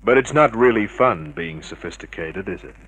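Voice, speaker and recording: An actor playing a cynical, unflappable private detective, terse and dry, in vintage radio drama style. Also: male voice, sits low, expressive, normal speed, a bit bright, husky, full character voice, rough recording. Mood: wry, flirtatious. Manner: terse, hard-boiled, dry. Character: cynical, unflappable male private detective narrating his case.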